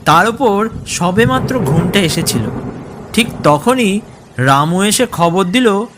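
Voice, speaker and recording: A man's voice: sits high, lively intonation, slow, background noise. A man narrating a scripted horror story in the first person, in a dramatic storytelling style.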